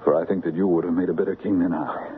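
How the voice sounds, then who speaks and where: A man's voice: low-pitched, fairly even intonation, fast, close to an everyday voice. An actor playing a wounded, humble king in a radio drama, speaking in a reflective, formal manner. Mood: wry, humbled. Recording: muffled, noisy vintage radio broadcast.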